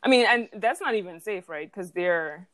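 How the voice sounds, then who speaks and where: A woman's voice: lowish voice, expressive, moderate pace, fairly bright tone. A young woman from Zimbabwe hosting a podcast in casual chat, chatty and laughing often as she acts out what people said. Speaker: podcast host.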